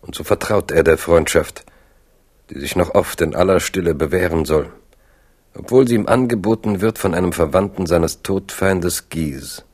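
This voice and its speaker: An actor narrating a literary radio play as a knowing, measured storyteller. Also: male voice, low voice, darkish tone.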